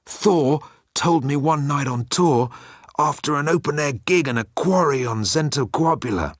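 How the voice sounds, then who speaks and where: A man's voice: on the high side, animated, a little slow, no room sound, clean studio sound, pitched above the performer's own voice. A man voicing a cocky, flippant male alien rogue with a breezy, teasing manner in a comic sci-fi audiobook. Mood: cheeky, strained.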